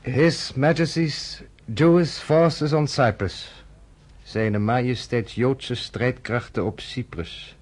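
An actor playing a sardonic, probing journalist in a radio drama, with a teasing manner. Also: male voice, mid-range voice, fairly even intonation, slow, a little mellow. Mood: matter-of-fact.

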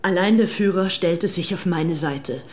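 A woman's voice: lowish voice, measured pace, a little hiss or hum, lightly acted. An actress playing a spoiled, fervent young upper-class English woman in a dramatized documentary, self-assured and haughty. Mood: indignant, gushing. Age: young adult. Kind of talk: dramatized audiobook documentary, reading diary and letters.

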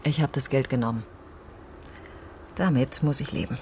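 A bitter guilt-ridden wife in a radio play, defiant, terse, bit of background noise. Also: female voice, extremely low pitch, moderate pace, dark tone. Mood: guarded. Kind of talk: naturalistic radio drama acting.

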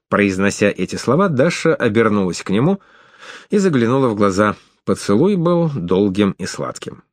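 A man narrating an audiobook in an expressive reading style. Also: medium pitch, no echo, studio quality. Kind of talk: scripted narration.

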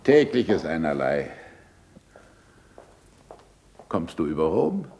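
An actor playing a shrewd, commanding scientist and teacher in a German radio play, ironic and didactic. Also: male voice, low voice, big pitch swings, moderate pace, creaky, darkish tone, full character voice. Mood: jovial, ironic. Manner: didactic, probing questions, sardonic. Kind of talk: German stage-style radio play acting.

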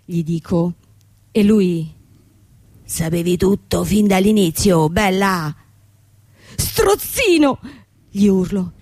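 An actress in a radio play playing a young company boss, bankrupt, desperate and defiant, telling her story with halting, repeated words. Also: lowish voice, animated, a little mellow, no echo. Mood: indignant, desperate. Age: young adult.

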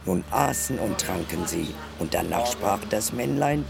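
Slow, very deep gravelly male storyteller. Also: expressive, crowd noise. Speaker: narrator. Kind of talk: fairy tale storytelling. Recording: music behind the voice. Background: guitar music.

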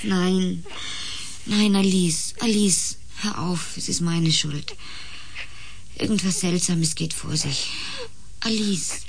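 A woman's voice: lowish voice, takes it slowly, long pauses, slight background noise. A woman playing an anxious, frightened housewife in a radio drama, speaking urgently and stammering over repeated words. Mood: urgent, controlled. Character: anxious housewife Gabi, increasingly frightened and pleading.

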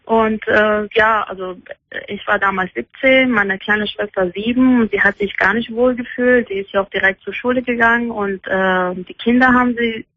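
A woman's voice: fairly high pitch, steady tone, moderate pace, no room sound. A woman in her twenties calling a radio show, friendly and giggly, with frequent fillers. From Iran. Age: 20s. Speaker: caller, student. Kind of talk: casual phone conversation. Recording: dull phone line on radio.

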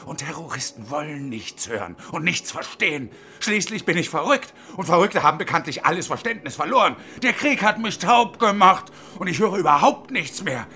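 Sardonic, volatile armed hostage-taker, swinging pitch. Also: male voice, very animated, moderate pace, hardly any pauses, pushed and bright, faint music behind, full character voice. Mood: defiant, bitter. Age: 50s. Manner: sardonic, domineering, theatrical. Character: bitter, sarcastic unemployed engineer turned armed hostage-taker. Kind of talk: radio drama acting.

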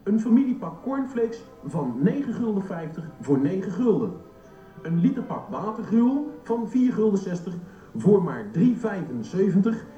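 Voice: medium pitch, big pitch swings, normal speed, distant mic, close to an everyday voice. An actor playing a supermarket announcer reading out special offers in scripted promotional patter. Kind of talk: store announcement within a radio play. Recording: muffled, echoey store loudspeaker with music.

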